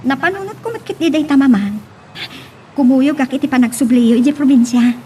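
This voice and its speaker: High-voiced young expectant mother, played by an actress. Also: animated, fairly quick, warm tone, slight background noise. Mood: earnest. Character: pregnant young working woman, affectionate but anxious. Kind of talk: Ilocano audio drama acting.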